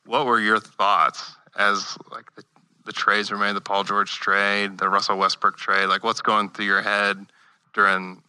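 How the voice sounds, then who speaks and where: A man with a low, bright, forceful voice hosts a casual sports interview. He keeps an easygoing tone and fills pauses with quick "yeah"s. The sound is dry and close. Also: flat delivery, clean tone, dry recording, low-quality recording. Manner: easygoing, asks with short affirmations. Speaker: podcast host.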